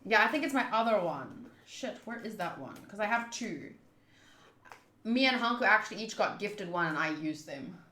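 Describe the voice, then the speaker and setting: Bright-voiced woman streamer in an echoey room. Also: medium pitch, sing-song, moderate pace. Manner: informal, chatty, thinks aloud. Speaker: streamer, visual novel playthrough host. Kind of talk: casual livestream chat and reading game text aloud.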